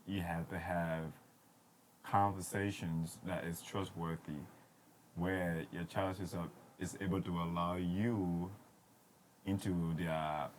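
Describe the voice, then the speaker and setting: A man's voice: deep voice, a little hiss or hum, rough recording. A man from Liberia who grew up in Ivory Coast, a podcast guest who earnestly advises parents. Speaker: podcast guest, former student turned teacher. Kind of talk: casual podcast conversation.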